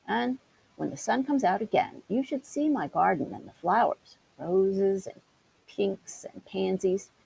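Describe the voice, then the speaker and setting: A timid, gentle country mouse from a storybook, voiced by a woman. He speaks meekly and earnestly, his pitch moving a lot and his voice very creaky, over a faint hiss. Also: exaggerated voice, rough recording. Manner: meek, earnest. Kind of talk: storybook character voice. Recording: hissy background noise.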